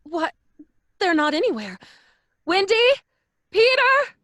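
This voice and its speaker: An anxious mother in a radio drama. Her voice is very high and bright, with a lively pitch and an unhurried pace. The recording is dry. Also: no room sound, exaggerated voice. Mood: frantic. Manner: worried, pleading. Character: Lydia, anxious suburban mother.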